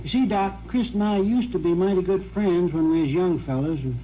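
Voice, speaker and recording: A folksy, kindly middle-aged country man played by a male actor in a vintage radio play. His colloquial voice sits fairly high, on a dull recording with faint hiss. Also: fairly quick, lightly acted. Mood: cautious, kindly. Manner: homespun, colloquial. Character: folksy, good-hearted middle-aged small-town man, doctor's friend. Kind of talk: old-time radio drama acting.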